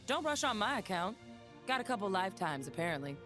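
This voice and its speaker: An actress playing a weary, resolute woman who hunts spirits in a game, with a blunt, calm, dryly funny manner. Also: mid-range voice, fast talker, soft background music. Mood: dry, brisk. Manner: blunt, calm, dry humor. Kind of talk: game character voice lines.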